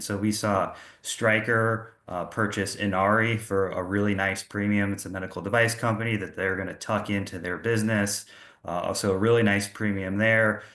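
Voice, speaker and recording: A man's voice: fairly low pitch, fairly bright tone. A man working as a financial analyst, presenting a market outlook on a call. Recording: roomy, echoey room sound.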